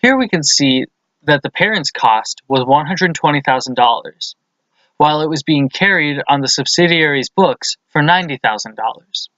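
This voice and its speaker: A man with a slightly high voice delivers scripted instructional narration in a methodical, explanatory way. The recording is dry and close, with a somewhat dull, lo-fi tone. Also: lively intonation, moderate pace, bright, forceful tone, dry recording. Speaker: accounting lesson presenter.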